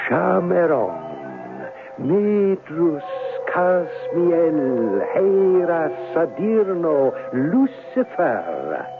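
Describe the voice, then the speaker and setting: Swooping, theatrical suave warlock. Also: male voice, on the high side, heavily acted. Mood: incanting. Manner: theatrical, ornate foreign-sounding phrasing, courteous and sly. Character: suave, eccentric male warlock and witch doctor. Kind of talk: 1970s radio drama acting. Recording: lo-fi, noisy old radio broadcast.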